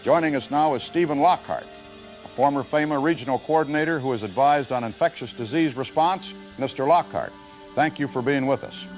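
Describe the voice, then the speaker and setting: A man's voice: mid-range voice, a little fast, natural voice. A man playing a sober, authoritative television news anchor in a scripted audio drama. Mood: sober, formal. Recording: music bed under the voice.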